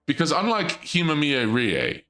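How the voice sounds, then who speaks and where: A young man streaming and chatting casually, rambling and joking in a theatrical dark-lord persona. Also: a little high, sing-song, measured pace, soft background music.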